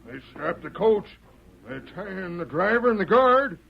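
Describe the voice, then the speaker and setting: An actor playing a stubborn, brusque American Western sheriff in an old-time radio drama, folksy and quick to accuse. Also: male voice, sits high, sing-song, gravelly, exaggerated voice. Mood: stubborn, impatient. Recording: muffled vintage radio with crowd noise.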